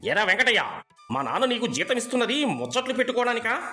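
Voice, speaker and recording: A man's voice: extremely high pitch, sing-song, quick pace, bright, forceful tone, faint music behind, heavily acted. A man voicing an arrogant, bullying young son of a rich merchant in a story, sneering and accusing.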